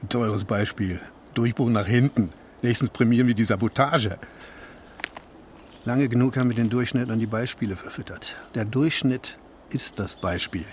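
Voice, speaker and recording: An actor playing a stern, lecturing party secretary in a radio play, speaking in biting, declamatory slogans. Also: male voice, mid-range voice, normal speed, darkish tone, slight background noise, natural voice. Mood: stern, sardonic. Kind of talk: stylised radio play dialogue.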